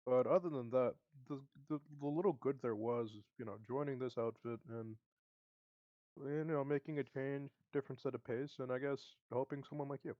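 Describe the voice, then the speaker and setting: A man's voice: mid-range voice, normal speed, no room sound, muffled recording, close to an everyday voice. Man playing awkward, friendly ex-Marine mercenary, dry recording. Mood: rueful, earnest. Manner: hesitant, conversational.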